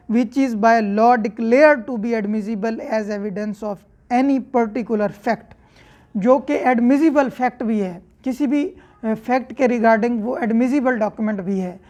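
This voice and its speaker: Woman, Pakistani accent, higher-pitched voice, lecturer, didactic, explanatory, repeats and rephrases points. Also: a little mellow. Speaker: law lecturer. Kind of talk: explanatory lecture, reading statute clauses aloud then explaining them.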